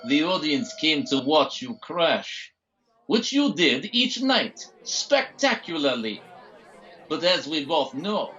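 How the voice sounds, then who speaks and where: An actor playing a smug, gloating villain doctor in an audio drama, pompous and taunting in a theatrical, deliberate way. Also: male voice, a little high, sing-song, bright, forceful tone, dull audio, a faint crowd, full character voice. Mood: mocking.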